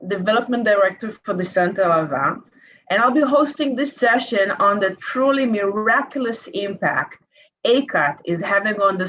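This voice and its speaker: Woman, session host, upbeat, welcoming, mid pitch, muffled lo-fi audio. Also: fairly bright tone. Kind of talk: scripted presenting. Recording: dull, slightly lo-fi audio.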